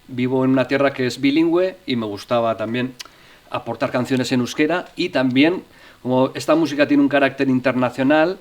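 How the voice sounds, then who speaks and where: A middle-aged man from northern Spain, a musician talking about his career as a guest. His voice sits at an ordinary pitch, and he tells his stories in a loose, hesitant way, often stopping to restart his sentences. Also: fairly quick. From Navarre, Spain. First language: Spanish. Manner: hesitant, with frequent restarts and fillers. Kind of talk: relaxed interview storytelling.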